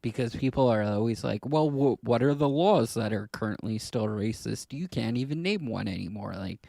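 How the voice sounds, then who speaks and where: Creaky-voiced man chatting casually. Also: mid-range voice, animated, moderate pace, warm tone, no room sound. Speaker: podcast co-host. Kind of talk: casual discussion of films and politics.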